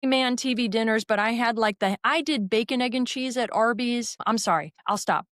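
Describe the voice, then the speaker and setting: A woman hosting a fitness podcast, talking in an energetic, rambling, emphatic way. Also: fairly high pitch, moderate pace, no room sound, studio quality. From raised in a military family. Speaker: podcast host and fitness trainer. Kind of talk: conversational interview.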